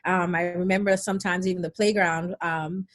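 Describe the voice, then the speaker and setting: A woman's voice: fairly low pitch, fairly even intonation, normal speed. A middle-aged woman from Nigeria, born in England, chatting casually and telling stories as an interview guest. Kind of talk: casual conversational storytelling.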